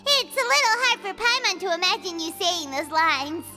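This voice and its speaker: Paimon, a shrill chirpy fairy companion. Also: female voice, expressive, normal speed, bright, forceful tone, long reverb tail, faint music behind, full character voice. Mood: teasing. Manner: perky, teasing, speaks of herself by name.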